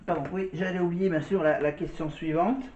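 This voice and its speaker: A man's voice: fairly high pitch, fairly even intonation, a little fast, heavy background noise. A man hosting a vlog, talking casually and directly to viewers with quiz questions and commentary, often repeating himself. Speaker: vlog host. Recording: dull, roomy sound.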